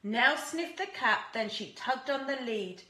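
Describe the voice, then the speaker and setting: A woman reads a children's storybook with a high, very lively voice and a bright tone. She is deliberate and expressive, like a teacher with a young class, in a roomy, echoing space. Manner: animated, deliberate, acts out characters and asks listeners questions.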